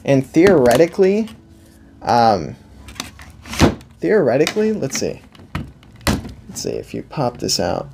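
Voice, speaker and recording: Male reviewer, 20s, unhurried and mid-pitched, enthusiastic and emphatic, off-the-cuff delivery. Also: very animated, creaky, background noise. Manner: enthusiastic, emphatic, repeats phrases. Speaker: product review host. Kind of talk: casual unscripted product review. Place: indoor room, seated at a table before a backdrop.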